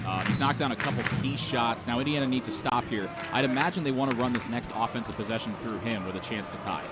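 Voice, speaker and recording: A quick-talking American man works as color analyst on a live basketball broadcast. His voice sits at a medium pitch as he breaks down the game. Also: over loud music. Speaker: radio color commentator.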